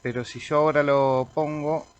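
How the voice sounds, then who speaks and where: Argentine man casually demonstrating software. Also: a little high, little pitch movement, normal speed, clear, resonant tone, highs cut off. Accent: Argentine Spanish. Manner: informal, thinking aloud while clicking through. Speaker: amateur radio operator demonstrating software. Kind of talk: casual screen-recorded software tutorial.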